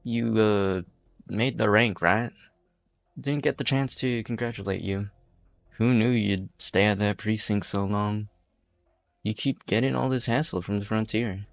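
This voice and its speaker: A man playing a weary, apologetic outlaw in an amateur game fan dub, speaking in a measured, resigned way. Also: on the low side, fairly even intonation, creaky, no echo. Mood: grim, wry. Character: weary, apologetic outlaw hostage-taker, a former lawyer.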